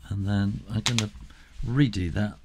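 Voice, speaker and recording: Middle aged guy doing a relaxed how-to-draw tutorial, deep voice, really slow, thinks out loud. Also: background noise. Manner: unhurried, thinking aloud. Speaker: drawing tutor. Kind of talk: casual step-by-step drawing tutorial.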